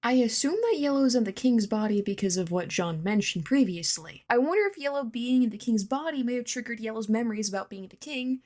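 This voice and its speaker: A woman's voice: fairly high pitch, lively intonation, fairly quick, few pauses, a little mellow. Woman leaving a fan voice message. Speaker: listener sending a voice message. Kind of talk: casual prepared fan commentary.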